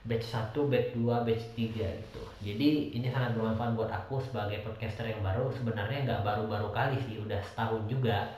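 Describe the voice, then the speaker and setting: A young man from Medan with a softened Medan accent, hosting a solo podcast monologue in a rambling way with many fillers. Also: lowish voice, fairly even intonation, fairly quick, a little hiss or hum. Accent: Medan Indonesian, softened. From Medan, North Sumatra. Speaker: podcast host. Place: indoors at home, late night. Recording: strongly echoey room.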